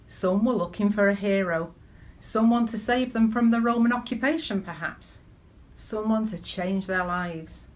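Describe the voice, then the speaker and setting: Middle-aged woman preaching conversationally. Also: medium pitch. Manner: measured, storytelling delivery with rhetorical questions. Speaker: church deacon leading online worship. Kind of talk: conversational sermon with personal anecdotes. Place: small living room at home, indoors.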